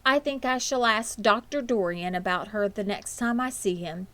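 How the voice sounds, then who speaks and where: A woman voicing a worried, gently questioning farm mother in a children's storybook, with a concerned manner. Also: medium pitch, normal speed, close to an everyday voice.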